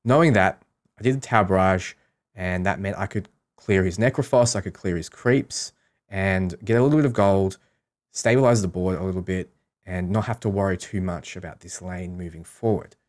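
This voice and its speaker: A man's voice: deep voice, normal speed. A man giving casual, explanatory commentary on a game, talking through his strategy as a streamer.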